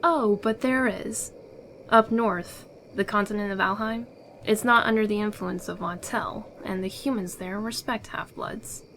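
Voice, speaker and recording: A secretive rebel guide acted by a woman in a fantasy audio roleplay. She sounds sure of herself and persuasive, with some theatrical flair at a medium pitch. Faint noise and night crickets are behind her. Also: steady tone, unhurried, fairly bright tone. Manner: assured, persuasive, theatrical delivery. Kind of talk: ASMR audio roleplay acting.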